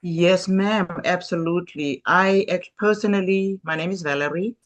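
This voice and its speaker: A woman's voice: on the low side, moderate pace. A woman hosting a casual group video call who talks in an enthusiastic, effusive way and laughs often.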